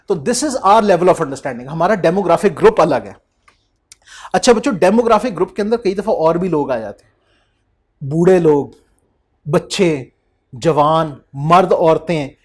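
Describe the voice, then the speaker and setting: A young man lectures an accountancy class in a high voice. He calls his students children and slips into acted-out back-and-forth dialogues to make his points. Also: darkish tone. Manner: addresses students as children, acts out quoted dialogues. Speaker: accountancy teacher.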